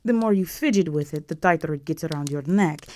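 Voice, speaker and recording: A woman voicing an imperious, seductive vampire countess in a scripted audio roleplay, poised, commanding and softly menacing. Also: low-pitched, a little fast, dry recording, lightly acted. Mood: soft, possessive.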